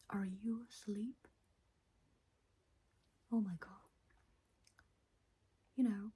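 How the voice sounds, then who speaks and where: A non-native English-speaking woman reading a bedtime story in a hushed, breathy ASMR whisper. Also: mid-range voice. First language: not English. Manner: slow, hushed, deliberate.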